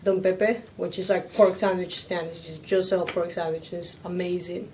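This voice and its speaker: A woman's voice: on the low side, fairly even intonation, a little slow, a little mellow, slight background noise. A woman from Ecuador in her thirties, with an Ecuadorian Spanish accent, a restaurant owner chatting casually as a guest.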